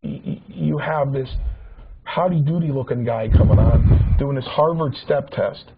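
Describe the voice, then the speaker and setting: A man's voice: medium pitch, measured pace, gravelly. A man in his forties reminiscing in a casual talk show chat, rambling with many restarts and repeated words. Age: 40s. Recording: dull lo-fi sound with faint hiss.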